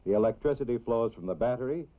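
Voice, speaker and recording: A man narrates a scripted educational film for children in a measured, clearly explanatory way. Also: mid-range voice. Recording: dull, lo-fi old film soundtrack.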